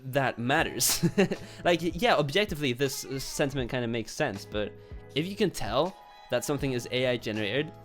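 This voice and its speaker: A man's voice: mid-range voice, expressive, normal speed, fairly bright tone, soft background music. A young man from Poland with a Polish accent giving informal, sweary YouTube commentary and reading comments aloud. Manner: informal, swearing, chuckles between remarks.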